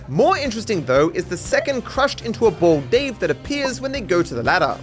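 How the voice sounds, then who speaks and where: A man with a high, very lively voice narrates a scripted comedic video about a game. His delivery is sardonic and mock-dramatic, with faint music playing underneath. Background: background music.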